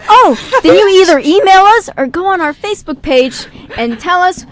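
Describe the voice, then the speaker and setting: A woman's voice: very high voice, lively intonation, moderate pace, slight background noise. A young woman with a giggly, playful manner, chatting casually as the host of a radio show in a studio. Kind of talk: casual group chat.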